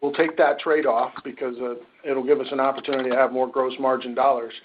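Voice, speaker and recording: A man answers questions as a finance executive on a conference call. His phrasing is measured and corporate, in a medium-pitched voice. The audio is slightly dull and muffled. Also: level tone, a little hiss or hum.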